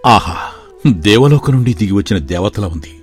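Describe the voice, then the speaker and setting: A man voicing an earnest young village man who sincerely declares his love, in an animated story. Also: lowish voice, normal speed, soft background music, natural voice.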